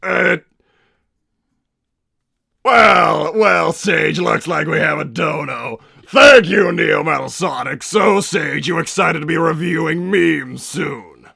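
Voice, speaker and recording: A man playing a pompous, irritable cartoon villain scientist and doting father, raspy and theatrical, bantering in character on a livestream. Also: fairly high pitch, slow, sharp tone, full character voice. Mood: flustered, theatrical. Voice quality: raspy, gravelly. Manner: theatrical, blustering, stammers when flustered. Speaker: livestream host reading donations.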